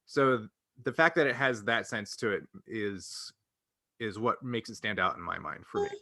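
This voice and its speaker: A man's voice: on the low side, moderate pace, pushed and bright, dry recording, studio quality. A man co-hosting a casual fan discussion, speaking earnestly and often rephrasing himself mid-thought. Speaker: podcast co-host.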